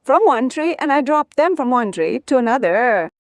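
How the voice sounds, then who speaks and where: A woman's voice: sits high, very animated, moderate pace, no room sound, clean studio sound. A woman, an interview guest telling personal stories and acting out quoted family dialogue as she goes. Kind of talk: personal storytelling.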